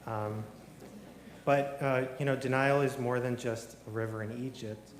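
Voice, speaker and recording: A man's voice: mid-range voice, steady tone, unhurried. A man telling his personal story at a service, rambling with many ums and restarts and slipping in wry jokes. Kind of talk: informal testimony at a service. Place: large synagogue sanctuary. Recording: echoey hall with long reverb.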